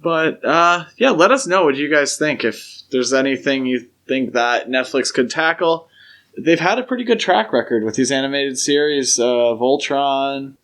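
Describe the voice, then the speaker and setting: A man with a mid-range voice speaks as a podcast co-host in casual fan discussion. He is keen and conversational, often softening his opinions as he goes. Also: mid-range voice, animated, moderate pace.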